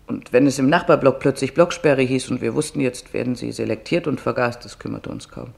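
A weary, sorrowful camp survivor, voiced by a man, gives testimony in a radio play. The voice has a heavy creak and a medium pitch. The recollection is plain and subdued. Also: brisk, a little mellow. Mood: sorrowful, haunted.

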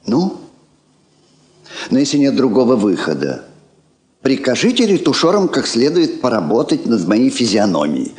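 An old king of dry, self-mocking wit. He speaks theatrically in an ironic drawl, and his pitch swings widely as he grumbles. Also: huge pitch swings, normal speed, long pauses, darkish tone. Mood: wry, grumbling. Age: older. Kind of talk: Soviet stage drama acting.

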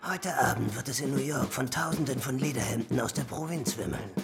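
A male actor gives us a zealous stormtrooper envoy in a radio play. He is an officious, insistent man with an extremely creaky, gravel-filled voice at a middling pitch, with music in the production. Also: a little fast, close to an everyday voice. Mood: formal, urgent. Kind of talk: German radio drama acting.

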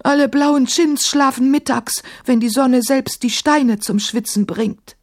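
A woman's voice: sits high, unhurried, voice pushed up. A woman voicing a touchy, defiant male djinn in a storybook, plaintive and ornately courtly. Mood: plaintive, hurt.

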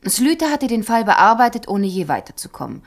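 A woman's voice: fairly high pitch, big pitch swings, brisk. An actress playing a self-possessed, guarded businesswoman in a radio crime play, articulate and matter-of-fact. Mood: composed, explaining. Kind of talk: 1970s radio play dialogue.

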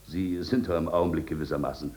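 A man playing a smooth, evasive lawyer in a 1950s German radio drama, formal and patronising. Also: a bass voice, steady tone, fast, warm tone. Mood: soothing, condescending. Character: smooth, evasive, condescending male lawyer soothing his client.

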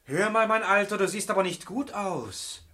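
A man's voice: high-pitched, lively intonation, moderate pace, sharp tone, slight background noise. An actor playing a breezy young male student in a German radio play, slangy, gossipy and slyly teasing. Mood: excited, gossipy.

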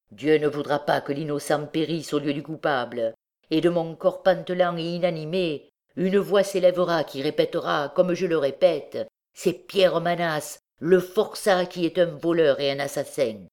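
A woman's voice: low voice, unhurried, a little mellow. A woman voicing a desperate working-class mother, horrified and fiercely defiant, in an exclamatory, pleading way.

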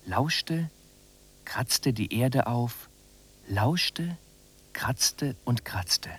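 An actor playing a solemn reciter of dark literary prose in a radio drama, deliberate and incantatory. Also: male voice, mid-range voice, animated, husky, full character voice. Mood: solemn, intense.

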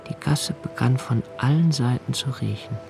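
An actor playing an anxious, observant young poet narrating a radio play in a slow, hushed, intimate way, sometimes sinking to a near whisper. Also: male voice, mid-range voice, gravelly, music in the background, close to an everyday voice. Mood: brooding. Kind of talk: literary radio drama reading.

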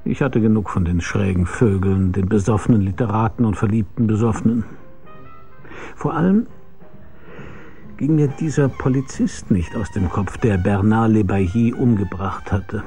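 An actor playing a hard-boiled, world-weary private detective, narrating a radio drama in a dry, sardonic, laconic way. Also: male voice, lowish voice, normal speed, dark tone, faint music behind, lightly acted. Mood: scornful, dry.

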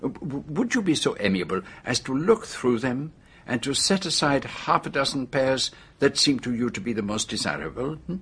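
Courteous older detective with a French-speaking European accent. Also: male voice, medium pitch, sing-song, normal speed, a bit bright, husky, full character voice. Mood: courteous, coaxing. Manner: formal, precise, hesitating politely. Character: older male detective, courteous, shrewd, gently scheming. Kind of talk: BBC radio drama acting.

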